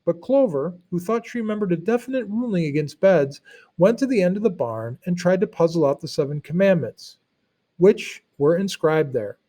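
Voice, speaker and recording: A man narrating a storybook read-aloud in an expressive, teacherly way, with explanatory asides. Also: sits high, moderate pace.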